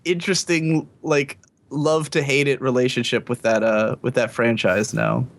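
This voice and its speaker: A man with a medium-pitched voice in a casual podcast conversation. He rambles through his thoughts as a guest and chuckles as he talks. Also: flat delivery, moderate pace, vocal fry. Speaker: podcast guest, video maker.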